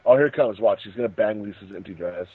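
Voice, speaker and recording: A man's voice: on the low side, quick pace, husky. A man giving casual, joking film commentary with friends over an internet call, in a mock-dramatic way. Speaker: co-host of a movie riffing show. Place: remote voice call, indoors. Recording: tinny internet call, roomy echo.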